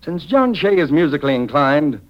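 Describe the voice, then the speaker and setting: A man's voice: a little high, very animated, fairly quick. A man acting as declamatory songwriter toastmaster, widely swinging delivery. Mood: solemn, ceremonious. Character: famous songwriter giving a grand toastmaster's speech. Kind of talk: 1940s radio dramatized oratory. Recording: dull vintage radio recording.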